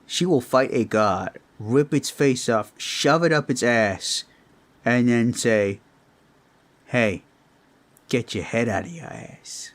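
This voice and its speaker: A man voicing an emotional, protective father and adventurer in an improvised fantasy roleplay, speaking in a halting, heartfelt way. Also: mid-range voice. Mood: fierce, proud.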